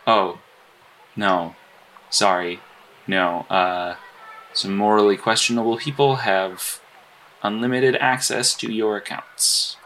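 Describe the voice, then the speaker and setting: A man playing an earnest, apologetic and socially awkward hero agent in a naturalistic audio drama, with halting, stammering speech. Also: fairly low pitch, fairly even intonation, fairly bright tone, slight background noise, low-quality recording. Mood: awkward, candid.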